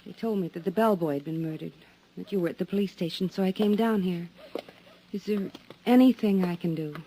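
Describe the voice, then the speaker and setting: A woman's voice: lowish voice, brisk, mellow, close to an everyday voice. An actress playing a concerned, probing woman in an old time radio mystery, asking earnest questions. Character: concerned, probing woman vacationing at a Mexican hotel. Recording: faintly noisy old radio recording.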